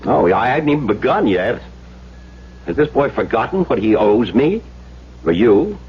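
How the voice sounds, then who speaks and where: A man's voice: mid-range voice, sing-song, a little slow. An actor playing an overbearing, indignant middle-aged businessman in a 1970s radio drama, with a blustery, hectoring manner. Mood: angry, argumentative. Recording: muffled, noisy old radio broadcast.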